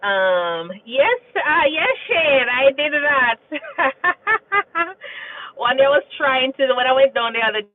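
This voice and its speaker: A talkative Jamaican woman hosting a relaxed livestream, answering viewers and drifting into stories, often checking in with "you understand?". Her voice comes through a thin, tinny, phone-like signal. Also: high-pitched, slow, slight background noise. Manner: chatty and storytelling, repeats phrases and asks "you understand?". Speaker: nurse and livestream host. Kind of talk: casual livestream chat reading viewer comments.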